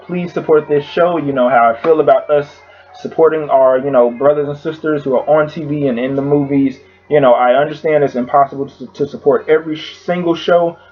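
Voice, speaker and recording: A man with a hoarse voice casually recapping a TV episode at home, rambling with lots of fillers. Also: a little high, muffled recording. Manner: rambling, filler-heavy storytelling. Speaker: YouTube reviewer.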